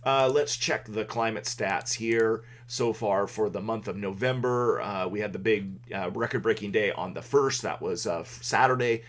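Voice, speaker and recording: Casting note: man as a loud, low-voiced local weather livestream host, casual and halting. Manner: loud, halting delivery with many fillers. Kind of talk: casual live weather update. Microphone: desk microphone.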